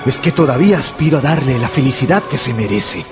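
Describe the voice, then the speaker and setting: An actor playing an obsessive, penniless young gambler who narrates a radio drama in a brooding, confessional manner. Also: male voice, medium pitch, lively intonation, fairly quick, over music, close to an everyday voice. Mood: resigned, wistful.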